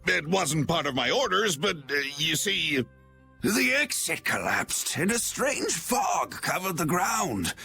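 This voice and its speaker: An actor playing a bumbling, loyal young knight who talks in a stiff, formal, stammering way. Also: male voice, on the high side, big pitch swings, takes it slowly, vocal fry, sharp tone, slightly rough, exaggerated voice. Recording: game music underneath.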